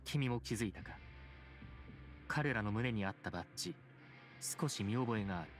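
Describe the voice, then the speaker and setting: Actor as a low, dignified chief judge. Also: male voice, expressive, faint music behind, lightly acted. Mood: calm, thoughtful. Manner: formal, measured, reserved wording. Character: grave, formal, dignified male chief judge. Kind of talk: anime game voice acting.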